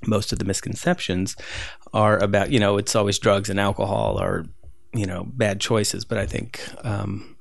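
A man from Southern California talks loosely and at length, circling back with fillers and restarts. His voice sits low with a gravelly fry, recorded close and dry. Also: little pitch movement, moderate pace, no room sound. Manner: rambling, many fillers and restarts. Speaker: street photographer guest. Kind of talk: casual interview conversation.

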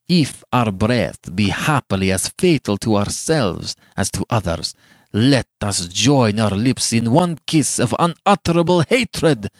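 A man's voice: on the low side, no echo, studio quality, heavily acted. A man playing a passionate, bitter young man in a storybook, declaiming with venomous scorn in archaic language.

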